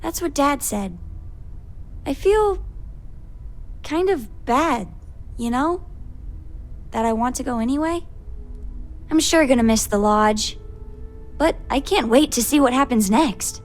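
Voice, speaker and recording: Thoughtful eager girl, high voice, video game dialogue, music behind. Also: expressive, slow, pauses often. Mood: wistful, eager.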